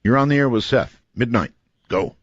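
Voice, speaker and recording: A man hosting a late-night call-in radio show, talking in a lively, teasing way with frequent restarts. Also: medium pitch, normal speed, no room sound, highs cut off. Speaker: radio talk show host. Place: radio studio in Nevada.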